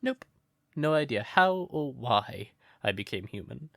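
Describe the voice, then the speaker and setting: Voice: medium pitch, big pitch swings, unhurried, gravelly, a bit bright, no room sound, clean studio sound, full character voice. A performer voicing a shy, smitten crow turned human in an intimate comfort roleplay, hesitant and stammering, naively earnest. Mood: puzzled, amused.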